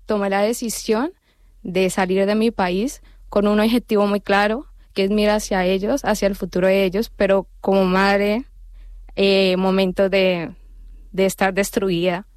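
A woman in her thirties with a Cali, Colombian accent talks as a radio guest. She is nervous, earnest and halting, and sometimes searches for words. The sound is dry and close. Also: medium pitch, flat delivery, no echo. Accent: Colombian Spanish, Cali.

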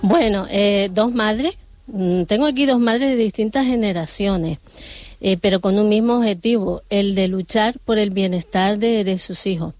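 A woman radio host who interviews her guests gently and reassuringly, with many fillers and restarts. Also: medium pitch, unhurried, no echo.

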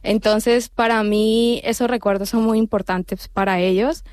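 A nervous woman in her thirties with a Cali, Colombian accent, speaking as a radio guest in an earnest, halting way. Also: on the high side, steady tone, a little mellow, no echo. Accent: Colombian Spanish, Cali.

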